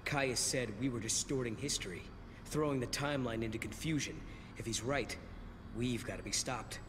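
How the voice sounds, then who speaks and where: An actor playing an earnest, casual-spoken young adventurer in a video game. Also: male voice, medium pitch, moderate pace, in a large hall, noisy background, natural voice. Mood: serious. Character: earnest young male time-traveling adventurer, determined. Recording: game music behind the voice.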